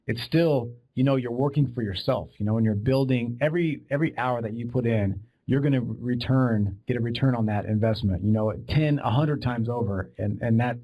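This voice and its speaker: A man's voice: medium pitch, fairly quick, hardly any pauses, warm tone. A man hosting a podcast interview, chatting in an agreeable, conversational way and often repeating words. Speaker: podcast host. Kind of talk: casual interview talk.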